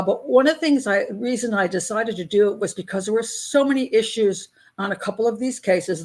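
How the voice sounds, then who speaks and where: Older woman chatting candidly. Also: medium pitch. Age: 60s. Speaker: host of a crime-profiling channel. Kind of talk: casual live chat with patrons.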